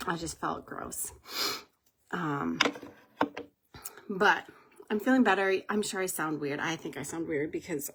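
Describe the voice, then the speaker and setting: A woman with a cold, congested and husky, chatting casually while doing her makeup on a livestream, rambling and laughing often. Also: low voice, big pitch swings, a little slow, long pauses, noisy background. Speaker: makeup live-stream host.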